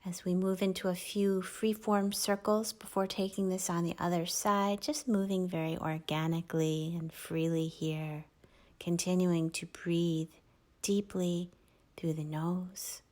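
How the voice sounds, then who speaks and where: Slow, soft-spoken woman yoga teacher. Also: lowish voice, fairly even intonation. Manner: soft, gentle instructions, often near a whisper. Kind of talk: guided yoga class cues.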